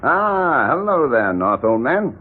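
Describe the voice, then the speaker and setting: An actor playing a cowardly, slippery blackmailer with affected, theatrical manners in a 1940s radio drama. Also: male voice, mid-range voice, very animated, slow, background noise. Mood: smug, jaunty. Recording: muffled narrowband 1940s radio recording.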